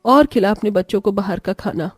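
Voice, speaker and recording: A woman's voice: mid-range voice, fairly quick, no echo, clean studio sound, pitched below the performer's own voice, lightly acted. A woman voicing a stern, reproachful older mother-in-law in a moral story drama. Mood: scolding. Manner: scolding, reproachful. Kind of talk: acted Hindi moral story dialogue.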